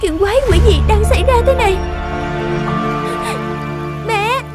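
A woman's voice: very high-pitched, animated, a little slow. A woman voicing a spoiled, carefree young party girl in a cartoon, whiny, dramatic and easily flustered. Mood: frightened. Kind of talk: dubbed cartoon acting. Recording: music behind the voice.

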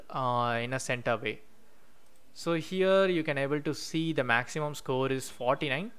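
A man's voice: mid-range voice, a bit bright, clear, resonant tone. Man explaining code while typing. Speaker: coding tutorial host. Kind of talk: screen-recorded coding walkthrough. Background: keyboard typing.

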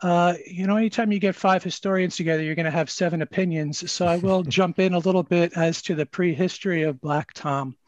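An older man, a librarian, explaining history informally and haltingly on a video call. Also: sits high, steady tone, dry recording. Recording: dull, lo-fi video call audio.

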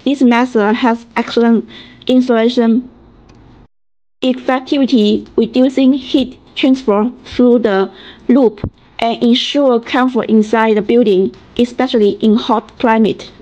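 High-voiced Chinese-accented woman presenting. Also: fairly even intonation, darkish tone, muffled recording. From China. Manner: halting reading, stumbling over and repeating phrases. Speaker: factory representative presenting products. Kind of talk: scripted promotional narration.

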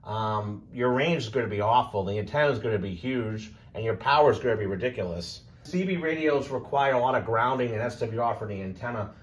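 Guy doing an off the cuff gear explainer, medium pitch, kinda halting and repeats himself. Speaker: presenter of a radio hobby video. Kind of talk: casual unscripted explainer.

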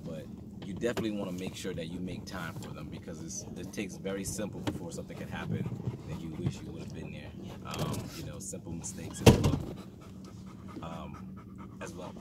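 A man's voice: deep voice, fairly even intonation, a little fast. A man interviewing guests on a motorboat in casual, rambling talk, quoting others as he goes. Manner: loose, rambling, quotes dogs and callers. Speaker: interviewer and dog breeder. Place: open motorboat on a lake. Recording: distant mic, loud wind and water noise. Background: boat motor and water.

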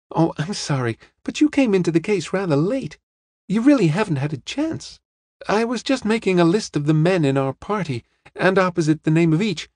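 A man's voice: high voice, darkish tone, dry recording, studio quality, voice pushed up. A man voicing an earnest, puzzled young woman in an audiobook, with an eager, questioning manner.

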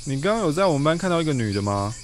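A man's voice: on the high side, very animated, very fast, slight background noise. An actor playing an earnest young male student in a radio drama. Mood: questioning.

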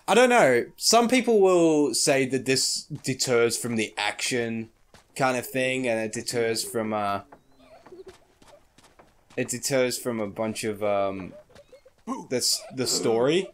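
A man commentating a game he plays, excitable and casual, his voice slightly croaky in the morning. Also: mid-range voice, takes it slowly, pauses often. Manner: excitable, swears, shrieks at small things. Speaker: gaming YouTuber. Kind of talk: casual let's play commentary.

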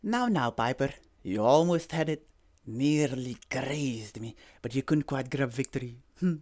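A man voicing a serious, honorable snake warrior mentor in a cartoon, speaking in a formal, measured way. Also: mid-range voice, expressive, darkish tone. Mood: approving. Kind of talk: animated series character acting.

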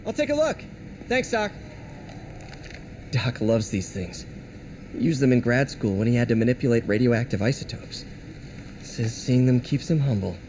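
Lively young lab assistant. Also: male voice, mid-range voice, normal speed, pauses often, a little hiss or hum. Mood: curious, cautious. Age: young adult. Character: earnest young lab assistant, loyal, gently worried. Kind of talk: video game cutscene acting.

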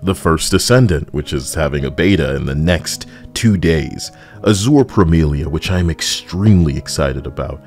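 A man's voice: very deep voice, lively intonation, moderate pace, darkish tone. A man giving casual, sardonic, rambling video game commentary as host, close on the mic. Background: music behind the voice.

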